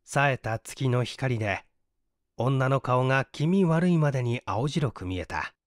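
A man's voice: medium pitch, animated, measured pace, no echo, studio quality. A man reading literary fiction aloud as a scripted audiobook narrator.

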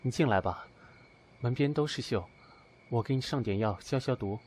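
A man's voice: mid-range voice, moderate pace, darkish tone, a little hiss or hum, lightly acted. An actor playing a guarded, coolly polite young man in an amateur audio drama. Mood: reluctant concern.

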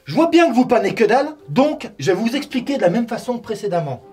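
A man's voice: extremely high pitch, very animated, quick pace, husky, faint music behind, exaggerated voice. An actor in his forties playing a short-tempered, sarcastic medieval warrior in a comedy sketch, recorded on a stone cellar set. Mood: exasperated. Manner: mock-friendly, then sarcastic and insulting, shouting at times. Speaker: warrior who owns the wine cellar.

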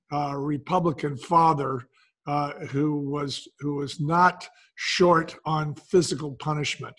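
A man's voice: fairly high pitch, a bit bright. An older man talking as an interview guest, explaining things and telling stories in a conversational way. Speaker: podcast guest, business founder.

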